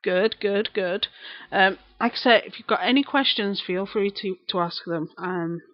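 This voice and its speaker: A woman's voice: medium pitch, moderate pace, dry recording, lo-fi sound. A woman in her thirties with a broad Lancashire accent, chatting casually on a live stream, greeting viewers and repeating herself as she rambles. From England. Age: 30s.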